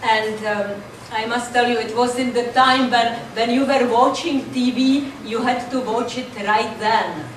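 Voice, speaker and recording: Slow older woman with Czech accent. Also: on the high side, fairly even intonation, recorded from a distance, a little hiss or hum. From Czech Republic. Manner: deliberate, dry storytelling about language mix-ups. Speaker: stand-up comedian. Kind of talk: stand-up routine. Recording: echoey room, mic from afar.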